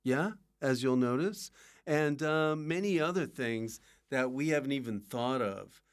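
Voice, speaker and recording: A man hosting a podcast in a casual, comic way, with an offbeat, halting manner. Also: mid-range voice, smooth. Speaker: podcast host.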